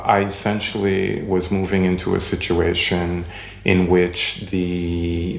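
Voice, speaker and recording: A man from Haiti whose first language is French, answering interview questions in a halting way, with many pauses and ums as he searches for words. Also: sits low, vocal fry. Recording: echoey room.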